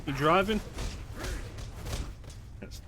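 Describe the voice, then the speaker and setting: A man's voice: on the high side, expressive, drawn-out words, noisy background. A man streaming a video game, chatting wryly and answering his viewers. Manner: wry, chatty, answers chat. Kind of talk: casual chat while playing. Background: game music.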